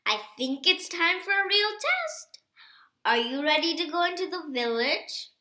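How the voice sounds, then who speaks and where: Woman reader as male guide-dog trainer in a storybook: instructive, emphatic, high and unhurried. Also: sing-song, pushed and bright, reverberant, heavily acted. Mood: encouraging, matter-of-fact.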